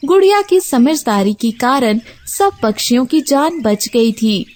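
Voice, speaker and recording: A woman's voice: on the high side, big pitch swings, unhurried, darkish tone. A woman narrating a scripted children's cartoon story.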